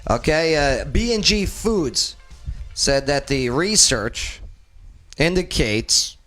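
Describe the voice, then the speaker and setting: Male talk host, 40, animated and joking, slightly high pitch with big swings. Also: slow, faint music behind. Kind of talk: casual panel chat.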